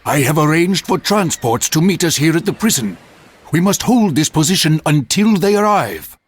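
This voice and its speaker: A man's voice: fairly high pitch, animated, normal speed, husky. A man playing an earnest, loyal warrior ally in a video game cutscene. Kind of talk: scripted game cutscene dialogue. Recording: music behind the voice.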